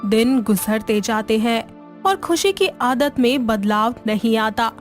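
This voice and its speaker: Female narrator for scripted moral stories, slightly high pitch, music underneath. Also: lively intonation, slow.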